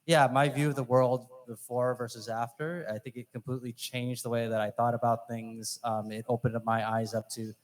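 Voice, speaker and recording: A man's voice: mid-range voice, flat delivery, normal speed, hardly any pauses. A man in his forties with an American accent, speaking informally to an audience as he introduces himself and reflects. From United States. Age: 40s. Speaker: alumni speaker.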